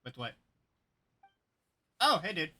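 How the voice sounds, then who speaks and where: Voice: mid-range voice, very animated, slow, sharp tone. A live streamer giving casual game commentary in hesitant, joking asides.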